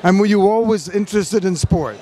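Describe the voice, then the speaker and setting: High-voiced male interviewer. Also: a little fast, warm tone. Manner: polite, leading questions. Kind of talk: broadcast interview.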